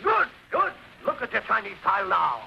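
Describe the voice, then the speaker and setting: A man's voice: sits high, wildly swooping pitch, moderate pace, vocal fry, exaggerated voice. A man playing an agitated, bullying stepfather who questions accusingly, in old-time radio suspense style. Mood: menacing. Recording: muffled, noisy 1940s radio recording.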